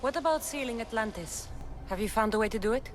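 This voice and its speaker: A woman's voice: fairly high pitch, animated, fast, noisy background, natural voice. An actress playing a determined, principled female warrior hero in a video game cutscene, firm and earnest. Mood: urgent.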